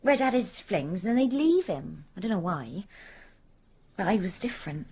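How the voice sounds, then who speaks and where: Casting: disappointed village wife, earthy and plain-spoken, husky mid voice, radio drama. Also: female voice, mid-range voice, very animated, moderate pace, warm tone, muffled recording, exaggerated voice. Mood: confiding. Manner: blunt, earthy, confiding. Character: blunt, disappointed working-class village wife having affairs.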